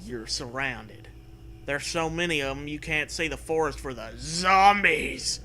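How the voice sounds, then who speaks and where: Guy doing a jokey read-aloud, really bright forceful voice, kinda high, swears a bit. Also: unhurried, a little hiss or hum. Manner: animated, acts out dialogue, swears casually. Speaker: co-host reading a comedy story aloud. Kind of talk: comedic read-aloud with banter.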